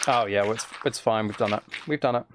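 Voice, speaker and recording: A man streaming a game, chatting casually in a relaxed ASMR style, rambling along in a self-deprecating way. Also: fairly low pitch, quick pace, noisy background. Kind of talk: casual livestream chat while gaming, relaxed ASMR.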